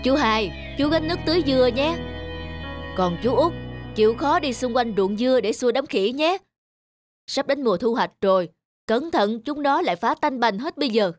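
A man plays a self-important eldest brother in a cartoon folk tale. He sounds authoritative and self-righteous as he orders people about, with music clearly behind him. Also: extremely high pitch, lively intonation, normal speed. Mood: instructing. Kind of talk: animated folk tale voice acting.

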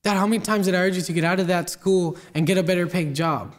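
Young guy playing a fed up son telling off his dad, super high voice, stage monologue. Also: fairly even intonation, fast talker, lightly acted. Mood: reproachful. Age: teens to young adult. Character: frustrated grown son in his twenties reproaching his father.

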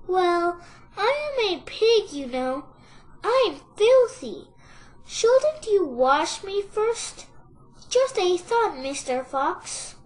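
A woman's voice: very high-pitched, very animated, very slow, voice pushed up, full character voice. A woman voicing a small crafty piglet in a storybook, meek and coaxing with a squealing streak. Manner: sighing, coaxing, mock-helpless.

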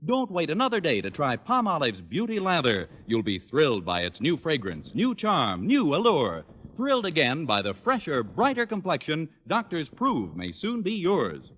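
An American man as a radio commercial announcer, delivering an emphatic, enthusiastic 1940s advertising pitch. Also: huge pitch swings, moderate pace, few pauses, a bit bright. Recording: reverberant old radio studio recording.

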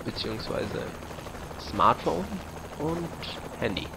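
A young man giving casual, rambling and slightly halting commentary as he plays a video game on a headset. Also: mid-range voice, expressive, vocal fry. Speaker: let's play host. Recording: loud game gunfire and noise behind voice. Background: video game gunfire, vehicles, helicopter.

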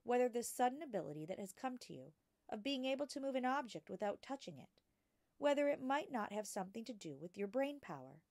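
Gentle, cautious female schoolteacher played by a woman reader, storybook style, patient and measured, in a dry space. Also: a little high, sing-song, no echo, voice pushed up. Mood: pondering, cautious. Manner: patient, careful, measured explaining. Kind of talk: children's storybook character reading.

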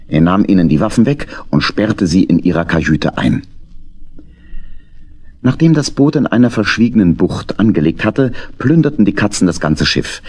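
A man's voice: deep voice, a little mellow. A man narrating a children's audio drama as a scripted storyteller.

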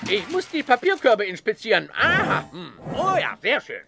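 A man's voice: very high voice, huge pitch swings, moderate pace, vocal fry, music in the background, full character voice. A man voicing a grumpy, sarcastic cartoon squid employee with a dry, dismissive manner. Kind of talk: cartoon audio play acting.

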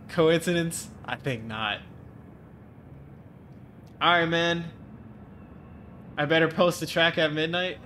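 A man's voice: fairly high pitch, slow pace, pauses often, pushed and bright, slight background noise. Stuffed-up young man streaming a race game. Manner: chatty and joking, reads chat aloud. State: congested, stuffed-up nose. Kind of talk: casual live-stream chat with viewers. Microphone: desk mic, webcam framing.

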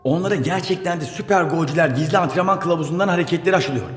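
A man's voice: on the high side, fast talker, breathy edge, faint music behind. A man playing an earnest, eager young footballer hero in a cartoon, speaking expressively. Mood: determined. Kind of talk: cartoon dubbing.

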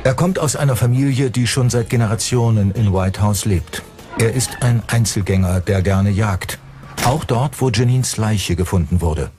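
A male documentary narrator reads a scripted crime story. His voice sits fairly low, and music plays clearly underneath him. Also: normal speed. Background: background music.

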